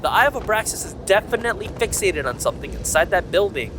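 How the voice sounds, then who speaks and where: A man's voice: high-pitched, expressive, fast, noisy background. A man playing an earnest young mage hero in a game fandub, careful and instructive. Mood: earnest, focused. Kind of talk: anime game fandub acting.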